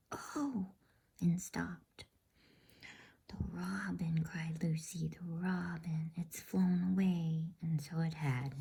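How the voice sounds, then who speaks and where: Woman whispering asmr bedtime stuff, super soft n soothing, slow, bit of crackle in the voice. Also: on the low side. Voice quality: breathy whisper. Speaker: host of a bedtime reading channel. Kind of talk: whispered ASMR storybook reading.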